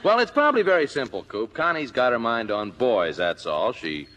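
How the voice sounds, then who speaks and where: A bright, punchy male voice that swoops widely in pitch. a breezy, folksy neighbor in an old radio comedy, full of jokes and advice, over light recording hiss. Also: big pitch swings, moderate pace, lo-fi sound. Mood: joking. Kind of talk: 1950s radio comedy acting.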